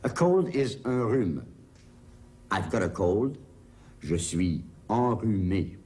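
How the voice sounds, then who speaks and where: A man presenting a scripted vocabulary lesson, enunciating each word and phrase in a measured, deliberate way. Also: lowish voice, lively intonation, warm tone.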